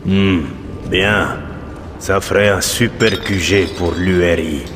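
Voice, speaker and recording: A laconic, gruff soldier in a war game. He speaks slowly and low, in long reverb with clear noise around him. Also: steady tone. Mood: dry, musing. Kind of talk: video game acting in French dub.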